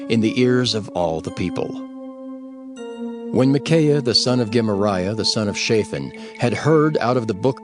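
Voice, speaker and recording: Low-voiced male scripture narrator over music. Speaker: audiobook narrator. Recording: prominent music bed under narration.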